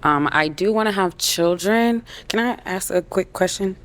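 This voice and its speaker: A woman's voice: medium pitch, normal speed, fairly bright tone. A woman in her twenties from Atlanta on a dating show, who speaks in a direct, questioning way. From Atlanta, Georgia. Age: 20s.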